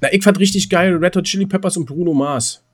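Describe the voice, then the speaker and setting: Rambling man, slightly high voice. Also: quick pace. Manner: rambling, self-deprecating, coarse slang. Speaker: podcast co-host. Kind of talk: casual chat, recounting his weekend.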